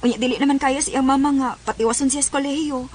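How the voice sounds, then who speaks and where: A woman with a Filipino accent acting a sweet, excitable young girlfriend in a radio drama. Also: sits high, fast talker, close to an everyday voice. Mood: earnest. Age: young adult.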